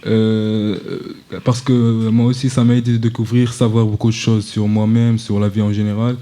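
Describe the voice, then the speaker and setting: A young man, a student guest on live radio, speaking in a soft-spoken, hesitant way. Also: fairly low pitch, completely flat delivery, moderate pace. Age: young adult.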